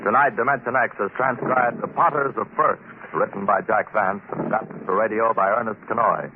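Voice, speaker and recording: A man announcing the closing credits of a 1950s radio drama in a crisp, formal manner. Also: lowish voice, steady tone, fairly quick. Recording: scratchy tinny old radio broadcast.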